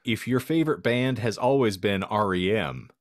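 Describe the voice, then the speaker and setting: A man's voice: on the low side, moderate pace, dry recording, clean studio sound. A man hosting a podcast with wry, sarcastic asides, bantering casually and reading bits aloud. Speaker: podcast host.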